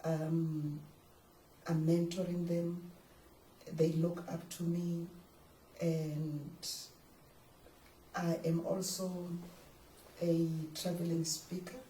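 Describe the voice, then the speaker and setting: An older South African woman, a pastor, speaking measured and formal in a studio interview, sometimes naming herself in the third person. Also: sits low, dark tone, echoey room, recorded from a distance, slight background noise, poor audio.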